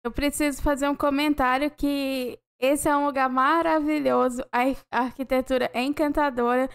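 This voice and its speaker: Brazilian woman reactor, high voice with gravel, slow and thoughtful. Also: fairly even intonation, soft background music. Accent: Brazilian Portuguese. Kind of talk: casual reaction commentary.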